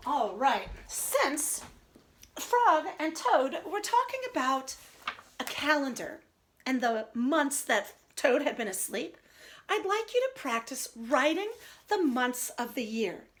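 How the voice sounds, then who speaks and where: High, lively woman teaching young children. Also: slow, a little hiss or hum. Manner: expressive, clearly explaining. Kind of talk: read-aloud storytelling and simple instructions for children.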